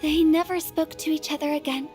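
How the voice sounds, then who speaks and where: A woman's voice: high voice, fairly even intonation, a little fast, a little mellow, soft background music, lightly acted. A woman voicing a talkative, dramatic young female funeral director in a video game, telling things in a confiding, suspicious way. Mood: storytelling, intrigued. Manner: chatty, confiding storytelling.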